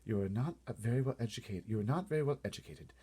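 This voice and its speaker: A regal, well-mannered storybook queen , put on by a male reader, speaks with composure and curiosity. The voice is slightly husky and varies freely in pitch. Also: lively intonation, fast, dark tone. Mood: approving. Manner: polite, composed. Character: curious, polite, regal queen, a grown woman.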